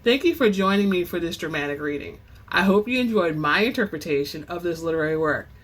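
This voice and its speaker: A narrator reading and presenting fiction in an expressive, theatrical storytelling manner as host of a reading channel. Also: medium pitch, fast talker. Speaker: audiobook-style reader and channel host. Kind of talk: dramatic book narration; casual chatty sign-off to listeners.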